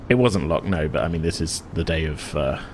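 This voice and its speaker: A man improvising narration as game master of a tabletop game, feeling for words in a halting way. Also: extremely low pitch, lively intonation, noisy background.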